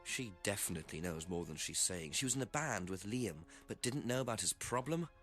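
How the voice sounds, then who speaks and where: Suspicious, musing detective, lively intonation. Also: male voice, lowish voice, a little fast, faint music behind. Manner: musing, sceptical. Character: suspicious male detective musing alone over clues. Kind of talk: acted game monologue.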